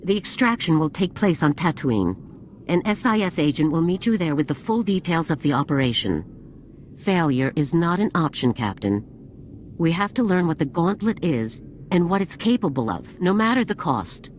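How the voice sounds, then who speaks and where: A woman's voice: low-pitched, sing-song, moderate pace, slight background noise. A woman playing a stern, formal, authoritative military general in a video game. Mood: stern, businesslike. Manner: formal, commanding, clipped. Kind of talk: scripted video game acting.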